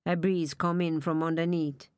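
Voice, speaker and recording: A woman's voice: sits low, flat delivery, normal speed, clear, resonant tone, dry recording, close to an everyday voice. A woman voicing a bitter, resentful middle-aged man, brooding and scornful like a preacher, in an audiobook reading.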